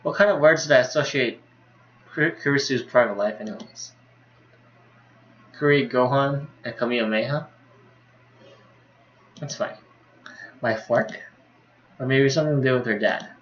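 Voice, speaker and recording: Male game streamer, mid pitch, casual read-aloud of story dialogue, muffled lo-fi sound. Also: moderate pace, long pauses, a little hiss or hum. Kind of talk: reading visual novel dialogue aloud, casual commentary.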